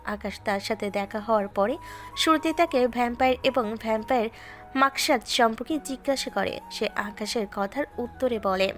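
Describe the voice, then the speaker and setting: A woman narrating a Bengali audio drama in a steady, scripted storytelling manner. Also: fairly high pitch, moderate pace. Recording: faint background music.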